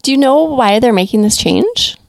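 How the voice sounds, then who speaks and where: A woman's voice: on the high side, lively intonation, moderate pace, gravelly, no echo, clean studio sound. A woman hosting a podcast, upbeat and encouraging, moving from a read intro into casual interview chat. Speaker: podcast host.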